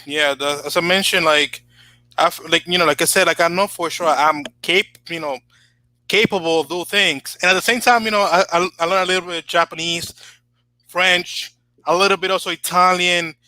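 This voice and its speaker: A man whose first language is Spanish talks in a loose podcast chat, heavy on fillers and restarts. His voice sits a little high and sounds bright and forward. The recording is dry and close, with little room sound. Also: normal speed, no echo, poor audio. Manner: rambling, many fillers, rising to animated emphasis. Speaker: channel host.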